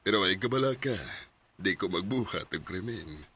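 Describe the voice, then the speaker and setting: A man's voice: low voice, expressive, moderate pace, heavy background noise. An actor with a Cebuano Filipino accent playing a casual, generous, well-off man in a radio drama, mixing in English phrases. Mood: teasing, assured. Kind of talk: Bisaya radio drama acting.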